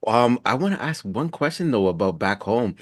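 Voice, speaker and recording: A man's voice: mid-range voice, sing-song, moderate pace, dry recording. A man hosting a casual podcast interview, asking questions in an informal, inquisitive way.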